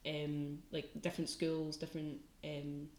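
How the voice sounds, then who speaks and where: A woman's voice: sits low, level tone, a little slow, darkish tone, reverberant. A young woman with a light Scottish accent, chatting as a student guest on a podcast, laughing often and using lots of fillers. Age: young adult. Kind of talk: casual podcast chat.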